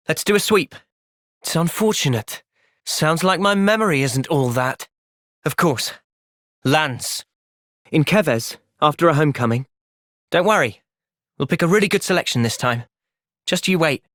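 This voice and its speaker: A young man acting an earnest, thoughtful soldier hero in a video game cutscene, speaking in a measured, sincere way. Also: on the high side, fairly bright tone, no echo, studio quality, close to an everyday voice.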